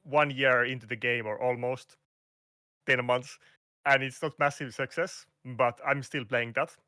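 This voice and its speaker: A man with a Finnish accent talks through a casual interview, searching for words and restarting often. His voice comes across bright and forceful. The sound is close and dry, with no room around it. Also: mid-range voice, fairly even intonation, a little slow, no echo. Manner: hesitant, restarts and fillers, non-native phrasing. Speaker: guest content creator. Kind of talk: casual interview over a call.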